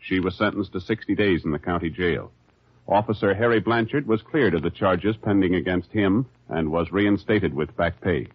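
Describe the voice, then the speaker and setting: A man announcing in a formal, measured, official 1950s radio style from a script. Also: sits low, husky. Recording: muffled 1950s radio broadcast, faint music.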